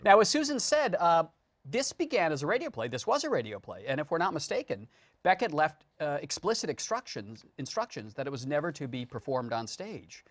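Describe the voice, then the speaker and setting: A man's voice: on the high side, sing-song, fairly quick, no echo. A man co-hosting a talk show, interviewing guests in a conversational way.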